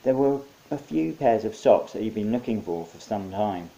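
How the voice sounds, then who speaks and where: Mellow, low-voiced male storyteller. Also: animated, normal speed, gravelly, poor audio. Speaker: author reading own short story. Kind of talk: scripted story narration.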